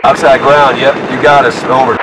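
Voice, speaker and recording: Casting: man as steady, matter-of-fact soldier, mid-pitched, radio-filtered, very noisy distant transmission. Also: moderate pace. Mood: businesslike. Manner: procedural, matter-of-fact, terse. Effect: military radio filter. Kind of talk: clipped military radio chatter.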